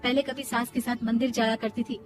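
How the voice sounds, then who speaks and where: A woman's voice: a little high, little pitch movement, brisk. A woman telling a scripted cartoon moral story as its narrator. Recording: music behind the voice.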